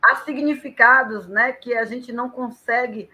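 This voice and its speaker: A woman guest on a live chat, talking lively and emphatically as she gives advice. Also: on the high side, normal speed. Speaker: guest storyteller and author.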